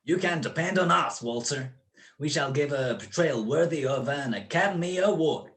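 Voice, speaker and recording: A man's voice: a little high, animated, moderate pace, fairly bright tone. A man acting a smug, cold-blooded criminal mastermind in a radio play, with precise, mocking, grandiloquent speech. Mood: coolly menacing.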